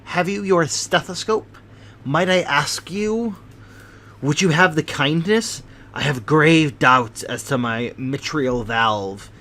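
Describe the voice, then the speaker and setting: A man voicing a fidgety, anxious bald little man of thirty with a high, thin voice and fussy, overly polite manner. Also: slow pace, sharp tone, full character voice. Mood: excited.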